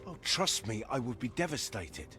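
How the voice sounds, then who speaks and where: An actor playing a battle-hardened, loyal soldier in a fantasy game, solemn and blunt with formal phrasing. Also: male voice, mid-range voice, sing-song, moderate pace, sharp tone, soft background music. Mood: stern. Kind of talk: dramatic fantasy game acting.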